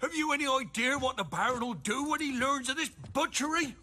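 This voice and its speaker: A man playing an anxious village innkeeper in a fantasy video game, with a rough edge to his voice. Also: very high voice, lively intonation, a little fast, bright, forceful tone, a little hiss or hum, full character voice. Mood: alarmed, angry. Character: anxious rustic male innkeeper in a war-torn village.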